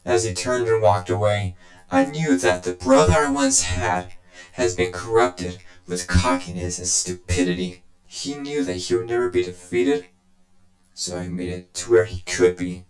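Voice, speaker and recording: An actor voicing a scheming, vengeful game villain who tells his story in a deliberate, condescending, smug way. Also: lowish voice, expressive, echoey room, soft background music, low-quality recording. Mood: brooding, scornful. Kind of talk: dramatic video game cutscene monologue.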